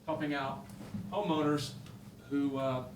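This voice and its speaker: A man chairing a council meeting in an echoey chamber with a steady hum of noise behind him. He talks in a folksy, halting way and fills his pauses with frequent "uh"s. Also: on the high side. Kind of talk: presiding over a council meeting. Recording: echoey room, background noise.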